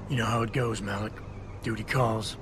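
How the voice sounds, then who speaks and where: Man playing a low, curt security chief. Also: fairly even intonation, normal speed, a bit bright, natural voice. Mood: terse. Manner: terse, dry, sardonic. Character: stoic, curt male security chief, hard-boiled. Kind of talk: video game voice acting. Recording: background music under the voice.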